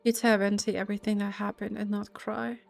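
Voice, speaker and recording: A woman's voice: mid-range voice, level tone, fairly quick, vocal fry. A woman game streamer chatting casually and reading aloud as she plays, hesitant and thinking aloud. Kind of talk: casual chat and reading aloud while playing. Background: game music.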